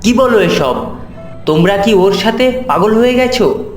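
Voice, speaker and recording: A firm, skeptical young man, acted by a man in an animated ghost tale. He questions in a slow, measured way, with echo and faint music behind him. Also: high-pitched. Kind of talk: animated ghost story acting.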